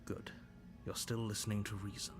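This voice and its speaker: An actor voicing a stern narrating voice in a game, measured and commanding. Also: male voice, fairly low pitch, little pitch movement, over music, close to an everyday voice. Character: stern male narrator voice guiding the hero.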